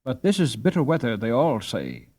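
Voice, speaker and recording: Casting: man voicing a poor, noble storybook boy, courteous and sincere, animated intonation. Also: medium pitch, normal speed. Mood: protective, sad. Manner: earnest, courteous.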